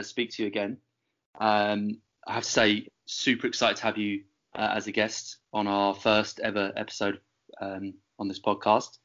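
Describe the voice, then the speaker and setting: A man's voice: fairly low pitch, a bit bright, highs cut off. A man hosting a podcast interview, talking with many fillers and restarts. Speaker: podcast host.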